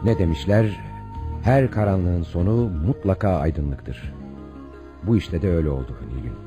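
Deep, animated protective family man. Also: measured pace, muffled recording, music in the background. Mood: reassuring. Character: protective, kindly older-brother family man. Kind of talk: radio drama acting.

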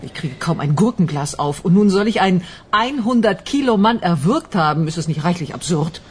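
A woman's voice: lowish voice, lively intonation, brisk, a little mellow, husky. A woman playing a talkative, defensive middle-aged office worker in a radio crime drama, mocking and easily indignant. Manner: chatty, mocking, indignant when pressed. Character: talkative, defensive female office worker under police suspicion.

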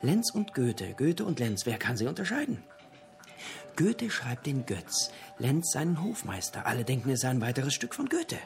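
An actor playing a talkative literature buff in a radio drama, lecturing theatrically in pithy, punchy fragments. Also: male voice, medium pitch, sing-song, normal speed, creaky, faint music behind. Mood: relishing, theatrical. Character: talkative literature buff holding forth in a pub.

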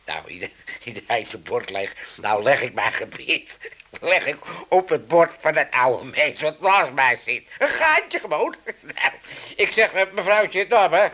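A man's voice: fairly high pitch, sing-song, measured pace, sharp tone, heavily acted. A man playing a cheeky, self-pitying elderly father with an Amsterdam accent in a comedy radio play, rambling and stammering in a folksy, blustering way. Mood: gleefully mischievous. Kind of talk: 1980s Dutch comedy radio play acting.

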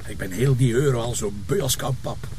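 An actor as a sardonic Flemish police commissioner, bright voice. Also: male voice, mid-range voice, a little fast, slight background noise, natural voice. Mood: sarcastic, grumbling. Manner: teasing, sardonic, colloquial. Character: grumbling, sarcastic middle-aged male police commissioner. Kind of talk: naturalistic TV crime drama acting.

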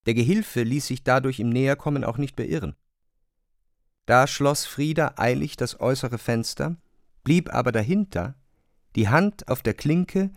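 A man's voice: mid-range voice, no echo. Male literary narrator, very dry sound. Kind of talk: literary narration in a German radio play.

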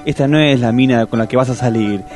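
A man's voice: medium pitch, fast talker, a little mellow, faint music behind, close to an everyday voice. An actor with a Rioplatense Argentine accent playing an exasperated straight-man friend who takes charge and repeats himself insistently in a comic radio play.

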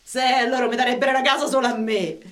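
A woman's voice: high voice, steady tone, quick pace, natural voice. An actress playing a blunt, scheming working woman with a Roman accent in a radio play. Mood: wary, conspiratorial.

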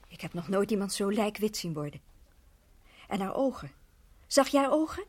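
Actress as uneasy party guest, husky mid-range voice, earnest, hushed dramatic emphasis, radio play. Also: female voice, medium pitch, lively intonation, moderate pace, natural voice.

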